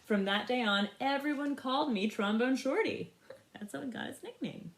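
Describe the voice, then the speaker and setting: A woman reading a picture book aloud in an expressive, animated way for young listeners. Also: high voice, roomy sound. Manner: animated, pauses to ask young listeners questions. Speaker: storybook reader. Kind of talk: expressive children's read-aloud.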